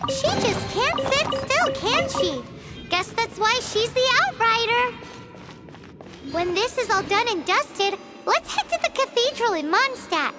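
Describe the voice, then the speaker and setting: A woman voicing a tiny, excitable, cheeky fairy girl companion in a video game, with a squeaky childlike voice. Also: full character voice. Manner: speaks of herself in third person, exclamatory. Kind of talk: animated video game voice acting.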